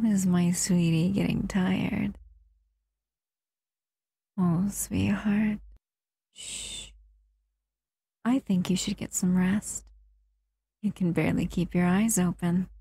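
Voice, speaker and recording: A woman voicing a doting, seductive female shadow monster in an intimate ASMR roleplay, breathy and soothing, often whispering. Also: medium pitch, warm tone, dry recording, studio quality, exaggerated voice.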